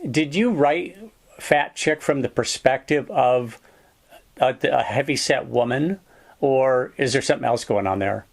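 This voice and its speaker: Podcast host guy, mid-pitched, friendly and chatty. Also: measured pace, gravelly. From upstate New York. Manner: friendly, chatty, lightly joking. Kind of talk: podcast interview hosting.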